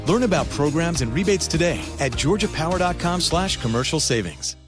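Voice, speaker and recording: A man's voice: fairly high pitch, lively intonation, brisk. A man reading a scripted radio commercial in an upbeat, polished manner. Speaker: ad announcer. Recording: phone-like band with music behind.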